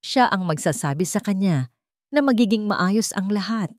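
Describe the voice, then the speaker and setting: A woman reading a scripted romance drama in a solemn, emotive storytelling manner with deliberate phrasing. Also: mid-range voice, expressive, darkish tone, no room sound, studio quality. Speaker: narrator.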